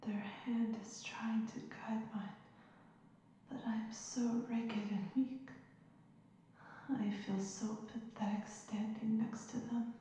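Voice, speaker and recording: Young princess, woman acting, ASMR fantasy roleplay: earnest, stubborn, hesitant; mellow and unhurried, slightly noisy home recording. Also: a little high, little pitch movement. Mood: wistful, whispering. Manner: soft, hesitant, breathy asides.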